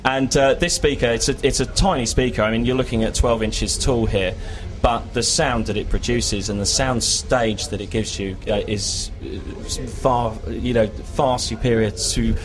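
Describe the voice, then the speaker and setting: A man's voice: mid-range voice, steady tone, normal speed, few pauses, faint music behind. A man giving an informal, explanatory product presentation in an interview, with a hesitant and lightly joking manner.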